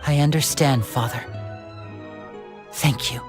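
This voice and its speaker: Guy voicing a devoted young game character, sincere n respectful, determined, regular male pitch, bit of music under it. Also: steady tone, slow, lightly acted. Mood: humble, grateful. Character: earnest, devoted young man, loyal to his family. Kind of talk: dramatic video game voice acting.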